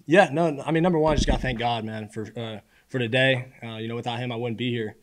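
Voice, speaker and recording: A man in his early twenties, a college quarterback, answering press questions in a casual, earnest way with halting repeated words. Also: medium pitch. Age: early 20s. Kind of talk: postgame press conference answers. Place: indoor press room at a podium. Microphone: podium microphone.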